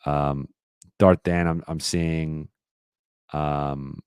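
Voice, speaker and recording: A man hosting a live-stream Q&A, talking casually and rambling with lots of fillers and restarts, his voice a little raspy. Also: rumbling low, level tone, very slow, darkish tone, dry recording, studio quality. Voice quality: slightly raspy voice.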